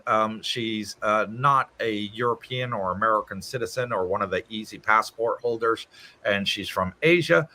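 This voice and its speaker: A man's voice: lowish voice, sharp tone. A man hosting a casual livestream from his home, reading out viewer comments and answering them in a chatty way. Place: home in Thailand.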